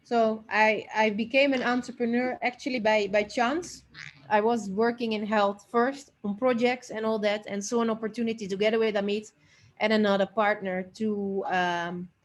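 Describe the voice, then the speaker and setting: A woman's voice: medium pitch, steady tone, moderate pace. A woman from the Netherlands introduces herself as a managing director in an informal online seminar, over internet call audio.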